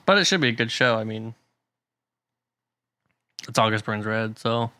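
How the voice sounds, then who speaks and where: Male podcast co-host, mid-pitched and bright, joking and easygoing, dry sound. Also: animated, normal speed, no room sound. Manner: joking, easygoing, trails off searching for names. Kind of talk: casual chat.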